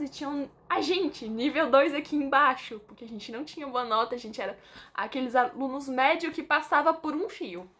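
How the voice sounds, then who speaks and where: A woman's voice: high voice, fairly bright tone. A young Brazilian woman with a Brazilian Portuguese accent, chatting casually to camera in an informal vlog with lots of fillers. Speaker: vlogger.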